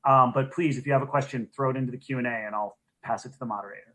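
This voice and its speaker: A man's voice: mid-range voice, steady tone, very fast, bright, forceful tone, rough recording. A man speaking as a program director, giving an informal welcome and housekeeping notes as co-host of an online event on a video call.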